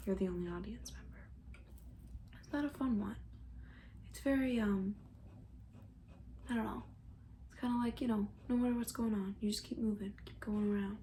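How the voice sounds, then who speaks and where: A woman speaking in a soft, breathy near-whisper, rambling gently and hesitantly in casual ASMR style as a comforting camp counselor. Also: medium pitch, normal speed, long pauses, a little hiss or hum. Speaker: ASMR roleplay creator.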